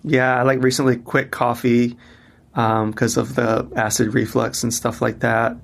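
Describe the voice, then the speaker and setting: A man's voice: mid-range voice, level tone, measured pace, gravelly. A man in his thirties or forties, a musician, chatting loosely in an interview with lots of restarts. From Mississippi, US. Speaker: musician guest. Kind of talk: casual interview conversation.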